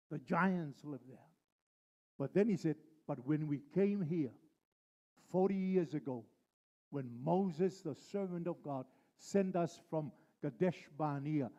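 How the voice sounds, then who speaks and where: A male pastor preaching a sermon, driving his points home with slow, repeated phrases. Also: on the high side, mellow, dull audio.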